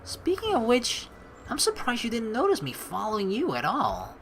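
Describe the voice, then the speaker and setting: A woman's voice: a little high, huge pitch swings, normal speed, a little hiss or hum. A woman doing casual, playful gaming commentary while reading a story game's narration aloud. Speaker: let's play streamer. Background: game music.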